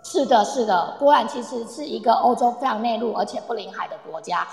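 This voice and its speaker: Cheerful high-voiced tour guide played by a woman, reverberant. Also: lively intonation, fairly quick, vocal fry. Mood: cheerful, explanatory. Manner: chatty, addresses group, uses soft sentence-final particles. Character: cheerful, eager female tour guide in Poland. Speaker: tour guide in an audio drama. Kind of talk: amateur live radio-play performance, explanatory. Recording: echoey, long reverb, online audio-room recording.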